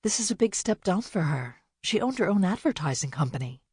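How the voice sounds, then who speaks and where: A woman voicing a careful, empathetic sister who speaks quietly. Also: lowish voice, very animated, fairly quick, slightly rough, no room sound, clean studio sound, heavily acted. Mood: gentle, careful.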